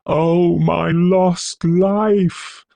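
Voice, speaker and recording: A man's voice: high voice, steady tone, vocal fry, warm tone, dry recording, pitched above the performer's own voice, exaggerated voice. Man putting on a wailing ghostly old miser woman. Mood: anguished, lamenting. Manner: moaning, drawn-out wailing. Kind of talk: storybook character acting.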